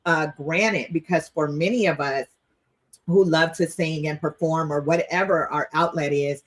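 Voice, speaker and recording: A woman's voice: deep voice, unhurried. A woman hosting a casual livestream interview in an affirming, enthusiastic manner. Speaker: talk show host.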